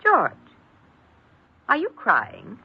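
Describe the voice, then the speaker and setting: A woman's voice: medium pitch, wildly swooping pitch, extremely slow, creaky, husky, heavily acted. An actress playing an anxious, emotionally distant middle-aged mother with a clipped, formal manner in an old radio crime drama. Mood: cool, reproving. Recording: muffled, noisy old radio recording.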